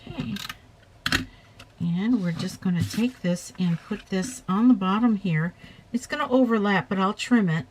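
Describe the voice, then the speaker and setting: A woman with a slight hoarseness from a cold, chatting her way through a paper craft tutorial at her table and correcting herself as she goes. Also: mid-range voice, unhurried, background noise. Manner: chatty, talks while working, self-correcting.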